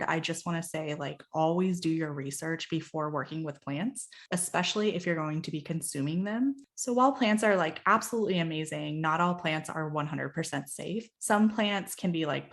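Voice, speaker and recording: A woman co-hosting a podcast, chatty and quick with frequent laughs, her nose stuffed up from allergies. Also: fairly low pitch, gravelly. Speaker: podcast co-host. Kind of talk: casual chat and informal explainer.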